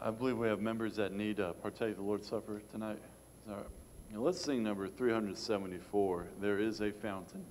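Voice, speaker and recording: A man's voice: lowish voice, brisk, a little mellow, long reverb tail, a little hiss or hum. An older man, a church song leader, speaking to the congregation to introduce a hymn, recorded from a distance in a church.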